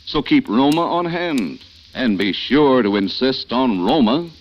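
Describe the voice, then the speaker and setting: A man reading a sponsor advert as a radio announcer, smooth and salesy, on a dull old broadcast recording with faint hiss. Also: mid-range voice, lively intonation, a little slow.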